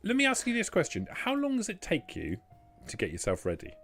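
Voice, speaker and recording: A man's voice: sits high, wildly swooping pitch, fairly quick, a bit bright, soft background music. A man acting as game master, narrating and bantering casually with a dry, teasing manner.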